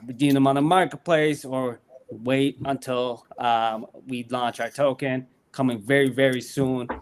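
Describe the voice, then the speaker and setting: Smooth-voiced man pitching his app. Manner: upbeat, pitching features, with many fillers. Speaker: guest promoting a sports app. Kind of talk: casual promotional chat.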